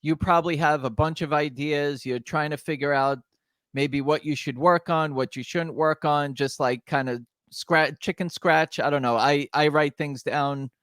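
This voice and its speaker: Show host, a man with a slightly raised pitch; dry recording, loose rambling questions. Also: fairly even intonation, moderate pace, fairly bright tone, dry recording. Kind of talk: interview hosting.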